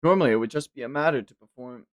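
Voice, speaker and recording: Man reading as a measured, clinical manga medic. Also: medium pitch, no room sound, lo-fi sound, natural voice. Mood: clinical, apologetic. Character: clinical, matter-of-fact medic explaining gravely.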